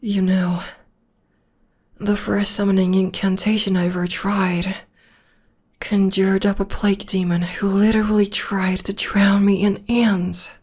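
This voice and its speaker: A woman voicing a devoted young witch girlfriend in an ASMR roleplay, talking in a soft half-whisper close to the mic. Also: medium pitch, little pitch movement, unhurried, slightly rough. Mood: tender, reassuring. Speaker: voice actor.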